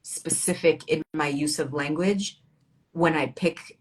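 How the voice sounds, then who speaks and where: A woman's voice: low-pitched, unhurried, rough recording. A bilingual Arabic and English speaking woman talking as a guest poet, reflective and informal, with wry humor in a casual literary chat.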